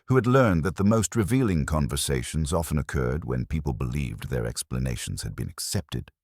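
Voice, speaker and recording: A man with an extremely low voice narrates a mystery story. His delivery is formal and measured, with lively rises and falls in pitch. The sound is close and dry. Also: creaky, dry recording. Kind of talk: scripted audiobook-style mystery narration.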